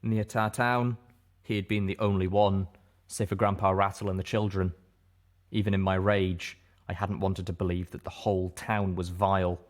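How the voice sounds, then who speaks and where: A man's voice: deep voice, level tone, moderate pace. A man reading a first-person story aloud as an audiobook narrator.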